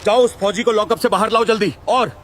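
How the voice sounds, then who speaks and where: A man playing a commanding, protective army officer, authoritative and indignant, in a scripted animated moral story. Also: high voice, a little fast, slight background noise. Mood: stern. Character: commanding male army officer, stern protector of his men.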